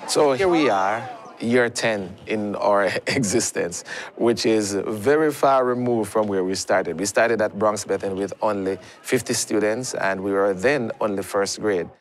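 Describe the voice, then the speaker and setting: A man's voice: lowish voice, measured pace, a faint crowd. A man on the school staff speaking steadily and proudly in an interview. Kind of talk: reflective interview.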